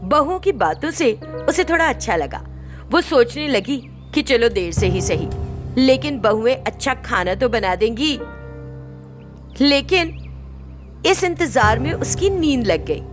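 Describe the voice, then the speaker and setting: A woman's voice: high voice, big pitch swings, unhurried, over music. A woman narrating a scripted moral story as a storyteller.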